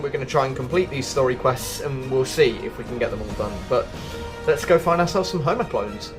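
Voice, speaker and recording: Guy doing a casual game walkthrough, thinks out loud, mid pitch, music playing behind him. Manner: chatty, thinking aloud. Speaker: gaming video host. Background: background music.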